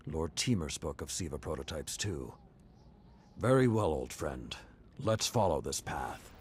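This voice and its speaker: An actor playing a grave, world-weary old warrior lord in a video game, telling of things solemnly and deliberately in a gravelly voice. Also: male voice, lively intonation, slight background noise. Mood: resolute. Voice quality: deep, gravelly. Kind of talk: dramatic video game acting. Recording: loud music behind the voice. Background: loud music.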